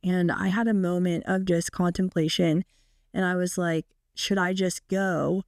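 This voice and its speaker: Young woman, 20s, raspy and hoarse from illness, chatty podcast host, dry close sound. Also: fairly even intonation, a little slow, darkish tone, dry recording. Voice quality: raspy, deep. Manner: chatty, many fillers. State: sick, hoarse. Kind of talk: casual solo podcast chat.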